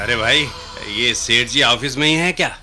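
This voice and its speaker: Sly, bright-voiced schemer. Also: male voice, medium pitch, moderate pace, soft background music. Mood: bossy, cagey. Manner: oily, chuckling, blustering, obsequious to superiors. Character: sly, scheming, fawning male matchmaker brother. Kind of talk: Hindi radio play acting.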